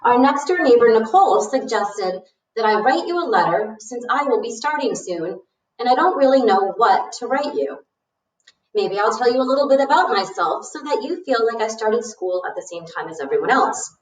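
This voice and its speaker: A woman's voice: on the high side, lively intonation, normal speed, voice pushed up, natural voice. Boastful seventh-grade boy played by a woman, frank and informal storybook letter, echoey and off-mic. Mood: boastful, chatty. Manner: frank, self-assured, informal. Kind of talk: storybook read-aloud of a letter. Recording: roomy, echoey, distant mic.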